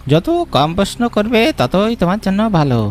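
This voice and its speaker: A man's voice: high voice, expressive, brisk, slight background noise. A man playing a mysterious village boatman who gives grim, cryptic warnings in a cartoon ghost story. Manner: ominous, cryptic.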